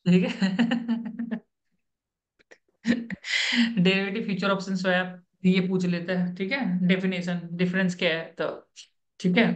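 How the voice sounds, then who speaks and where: A man working as an interview coach, running an online coaching session over a video call, brisk and instructive, repeating the key points. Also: sits high, little pitch movement, lo-fi sound.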